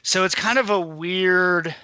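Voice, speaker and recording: A man's voice: sits high, takes it slowly, pushed and bright, smooth, no echo, lo-fi sound. A man co-hosting a podcast, giving an informal, casual news rundown with some hedging. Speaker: podcast co-host.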